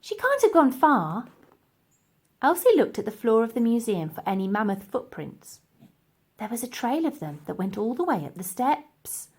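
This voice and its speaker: A woman's voice: a little high, very animated, moderate pace, warm tone, slightly rough. A woman narrating a children's storybook expressively as its reader.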